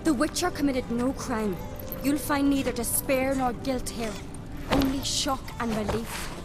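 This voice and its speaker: An actress voicing a bold, confident young noblewoman who talks briskly and teasingly. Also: high-pitched, fairly even intonation, over music. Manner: brisk, teasing and sure of herself.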